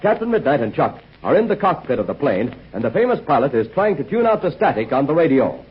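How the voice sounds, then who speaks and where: A man's voice: medium pitch, big pitch swings, fast, slightly rough. A male announcer giving upbeat 1940s radio ad copy and story recaps. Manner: upbeat, salesy. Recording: dull 1940s radio transcription.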